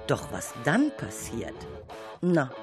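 A woman narrating a children's story in an expressive, dramatic, suspenseful way. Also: very deep voice, takes it slowly, over music. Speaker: storyteller, radio show narrator.

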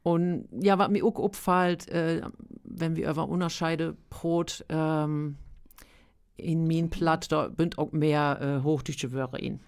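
Gravelly-voiced woman with an Emsland Low German accent. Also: steady tone, slow, darkish tone. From Emsland, near Papenburg. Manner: chatty, laughs often, many fillers. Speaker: guest, amateur stage actress and singer. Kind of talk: casual radio interview. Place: radio studio.

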